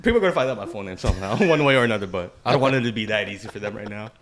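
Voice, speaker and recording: A man's voice: on the low side, lively intonation, very fast, a crowd in the background. A man co-hosting a podcast in casual banter, slangy and fond of repeating his phrases. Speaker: podcast co-host.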